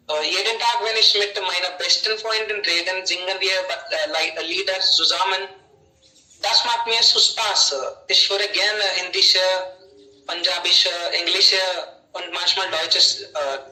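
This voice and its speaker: A man's voice: high-pitched, bright, forceful tone. A man talking in a group conversation over a video call, searching for words with many fillers. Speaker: German learner. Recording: roomy sound, distant mic.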